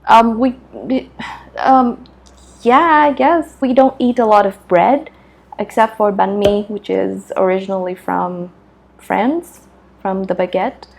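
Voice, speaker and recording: Female vlogger, Vietnamese accent, mid-pitched and slow-paced, casual question-and-answer chat, thoughtful and halting. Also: creaky, a little mellow. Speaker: video creator answering questions.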